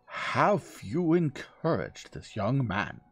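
A haughty old lady of high society, acted out by a male audiobook reader, frigid and full of disdain. The delivery is biting and swoops in pitch, with a gravelly edge to the voice. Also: sing-song, normal speed, a little mellow, heavily acted. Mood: outraged. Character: haughty, venomous, wealthy old woman, frigid snob.

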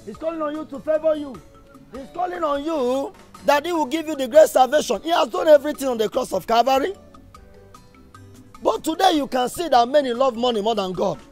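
An actor playing a fervent evangelist, preaching emphatically with rhetorical questions and repetition. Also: male voice, extremely high pitch, animated, fairly quick, darkish tone, faint music behind. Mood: urgent exhortation. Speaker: street preacher. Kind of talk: sermon.

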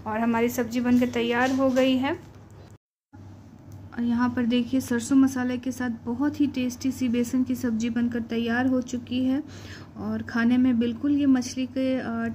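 A woman's voice: a little high, a little mellow, slight background noise. A woman with an Indian accent giving a step-by-step cooking tutorial voice-over as a home cook. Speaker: home cook presenting a recipe.

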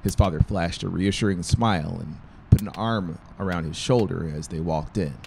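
A man's voice: deep voice, moderate pace, creaky, noisy background. A man in his thirties reading scripted fiction as an audiobook narrator, close on the mic in a small room. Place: small brick-walled room, indoors. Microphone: close dynamic mic on boom stand. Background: faint music.

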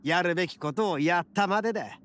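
A man playing a brash, kind-hearted young oni gang boss in an anime game, with rough, swaggering speech. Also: sits high, brisk, fairly bright tone, a little hiss or hum. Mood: proud. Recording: game music behind the voice.

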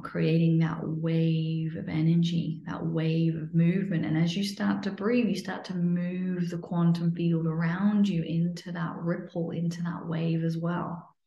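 A woman leading a guided breathing meditation in a soothing, instructional way, sometimes dropping to a breathy near-whisper. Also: lowish voice, dark tone. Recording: muffled, lo-fi, roomy echo.

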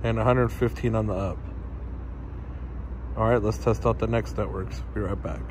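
A man's voice: lowish voice, normal speed. A man filming on his phone at night on a city street, casually reading out network speed test results to his viewers. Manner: relaxed, reads out speed test numbers, addresses viewers as guys. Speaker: tech vlogger testing phone networks. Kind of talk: casual on-the-spot commentary to viewers. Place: outdoors on a city street at night near a stadium. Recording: outdoor phone recording with traffic noise. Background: passing traffic and street ambience.

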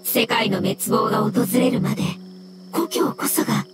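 A woman voicing a gentle floating mushroom spirit in a game, with formal, measured and courteous speech. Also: a little high, lively intonation, over loud music, close to an everyday voice. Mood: solemn. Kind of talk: scripted game dialogue.

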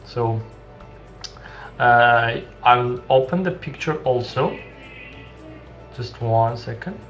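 Halting young man explaining code. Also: mid-range voice, fairly bright tone. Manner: halting, many restarts and fillers, explanatory. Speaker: coding tutorial host. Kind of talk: screen-share coding tutorial. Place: small room indoors. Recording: dull lo-fi sound with faint music.

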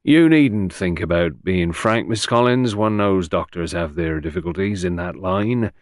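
A man playing a shrewd police inspector in an audiobook, probing in a confidential, slightly gruff manner. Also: deep voice, unhurried, no room sound, studio quality. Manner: easy, confidential, probing, grunting at times. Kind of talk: audiobook character reading.

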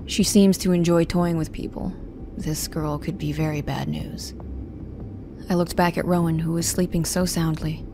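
A woman's voice: deep voice, level tone, normal speed, dark tone, a little hiss or hum. A woman playing a guarded, protective young woman, narrating an audio drama in the first person in a restrained, brooding, stern manner. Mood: suspicious.

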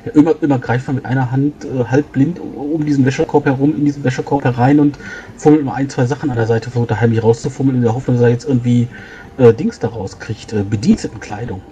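A man with a mid-pitched, mellow voice plays a tabletop game. He describes his actions casually and quickly, doubling back on words. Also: fairly even intonation, a little hiss or hum.

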